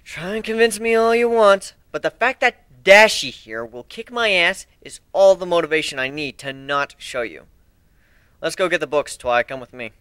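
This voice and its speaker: Man acting a cocky, bright-voiced griffin pirate. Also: on the high side, animated, moderate pace, clean tone, dry recording, clean studio sound. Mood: stubborn, sardonic. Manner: flippant, sardonic. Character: sarcastic, cocky male griffin pirate from another world.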